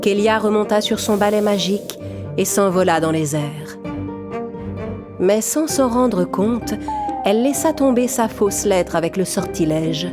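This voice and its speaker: A woman's voice: mid-range voice, lively intonation, a little slow, music in the background. A woman narrator telling a children's fairy tale in a scripted storytelling style.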